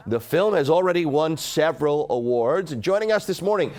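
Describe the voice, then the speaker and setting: A man hosting a morning TV news segment in a studio, asking guests brisk, friendly questions. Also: a little high, expressive, darkish tone. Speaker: TV news host. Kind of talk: morning TV interview.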